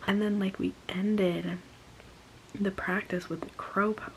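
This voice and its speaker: A woman's voice: fairly low pitch, vocal fry, slight background noise, rough recording. A young woman telling a casual story in a mostly whispered voice, congested and sore-throated, sounding exasperated. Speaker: vlogger.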